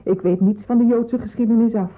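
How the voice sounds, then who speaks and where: A woman's voice: a little high, fairly even intonation, a little fast, lightly acted. A woman acting as a practical, no-nonsense roommate in a radio drama. She talks bluntly and offhandedly, and the sound is narrow and phone-like. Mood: blunt, uninterested. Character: down-to-earth, dismissive roommate with no taste for philosophy. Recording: muffled, phone-like radio play recording.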